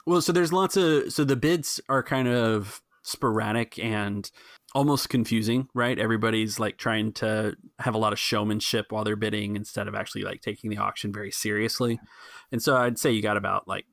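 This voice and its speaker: A man's voice: lowish voice, brisk, creaky, a bit bright, no room sound, studio quality. A man acting as game master, improvising narration and rules in a casual, conversational way. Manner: conversational, improvising, thinking aloud. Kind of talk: casual tabletop roleplay narration and rules talk.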